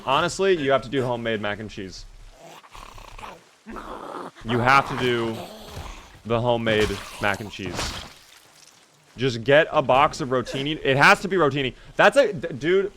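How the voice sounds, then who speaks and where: A male streamer chats easily as he plays, answering his viewers as he goes. His voice sits at a middle pitch and sounds a little worn from recent strain. A faint hiss of background noise runs under it. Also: a bit bright. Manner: chatty, reads and answers chat while playing. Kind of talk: casual live gameplay commentary.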